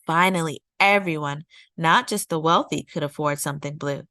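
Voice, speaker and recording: Female storytime narrator for children's nonfiction. Lower-leaning pitch, measured delivery, very little room sound. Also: sharp tone, no echo. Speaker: storytime read-aloud host. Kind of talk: scripted read-aloud narration of a children's nonfiction picture book.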